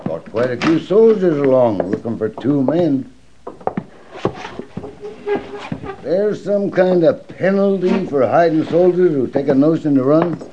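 A man's voice: fairly high pitch, expressive, normal speed, hardly any pauses, natural voice. An actor playing a wary, grudging frontier farmer in a radio Western, folksy and evasive as he grumbles. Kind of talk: old-time Western radio drama acting. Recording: dull old radio recording, faint noise.

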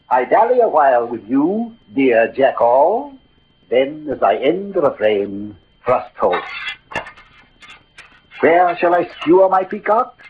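Grandiloquent swashbuckling poet, muffled old radio. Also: male voice, mid-range voice, husky, full character voice. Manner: grandiloquent, declamatory, savouring verse. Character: Cyrano, swaggering poetic swordsman, proud, melancholy about his ugliness. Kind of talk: theatrical 1940s radio drama acting. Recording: muffled old radio recording, music behind.

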